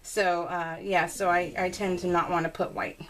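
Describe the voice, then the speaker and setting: A woman's voice: lowish voice, level tone, moderate pace, a bit bright, a little hiss or hum, poor audio. A middle-aged woman chatting casually and rambling while she works on a scrapbook layout, laughing often. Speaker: scrapbooking video creator. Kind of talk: casual voice-over while crafting.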